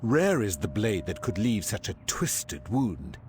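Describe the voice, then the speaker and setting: An actor playing a calm, gentle male healer in a game cutscene, speaking slowly in a soothing, measured way. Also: on the low side, soft background music. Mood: gentle, solemn. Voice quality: slow, soothing, measured delivery.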